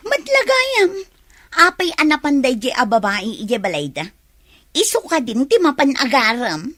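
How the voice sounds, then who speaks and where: Actress doing jealous young ilocano gossip, haughty, high voice all over the place, radio play. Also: female voice, huge pitch swings, a little slow, sharp tone, no echo, studio quality, exaggerated voice. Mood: indignant. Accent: Filipino (Ilocano). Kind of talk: village radio comedy-drama acting.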